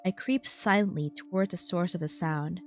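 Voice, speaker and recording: A woman's voice: fairly low pitch, moderate pace, darkish tone, soft background music. A woman streaming a story game, reading its text aloud expressively with casual, wry asides. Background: game soundtrack.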